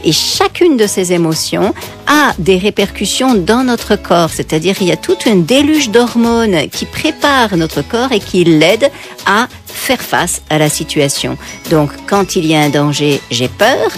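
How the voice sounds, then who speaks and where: A Parisian woman, a psychotherapist guest on a radio show, explaining calmly in a teacherly way. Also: mid-range voice, unhurried, faint music behind. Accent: Parisian French. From Paris, France. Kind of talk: explanatory interview answers.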